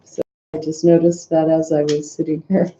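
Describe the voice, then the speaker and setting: A nasal, congested woman talks through a daily tarot reading in a loose, rambling way, humming to herself between cards. Her voice is very dark and mellow. It sounds dull and lo-fi, in an echoey room. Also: low-pitched, level tone, normal speed, a little hiss or hum. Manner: rambling, filler-heavy, hums to herself. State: congested sinuses. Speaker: tarot reader.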